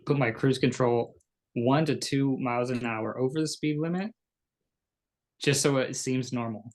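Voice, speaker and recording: A man's voice: medium pitch, normal speed. A man co-hosting a podcast in a casual chat, speaking bluntly and jokingly with plenty of swearing. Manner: blunt, profane, jokey. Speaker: podcast co-host.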